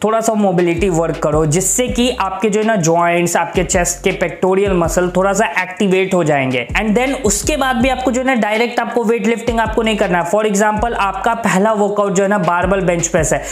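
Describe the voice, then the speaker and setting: Young guy, fitness vlogger, high voice, brotherly and earnest, roomy echo. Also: fairly quick, hardly any pauses. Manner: earnest, brotherly, repeats "mere bhai" and fillers. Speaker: fitness YouTuber. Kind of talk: casual advice vlog.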